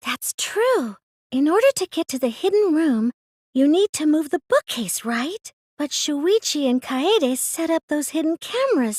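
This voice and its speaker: A very high, girlish anime game voice for a plain, earnest teenage girl, recorded close and dry. She comes across as meek and innocent, with a schemer hidden underneath. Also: no room sound, full character voice. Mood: quiet, pondering. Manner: hesitant and stammering when pressed, earnest, girlish. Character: meek, plain teenage girl cosplayer, secretly a gleeful mastermind. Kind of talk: anime video game dub acting.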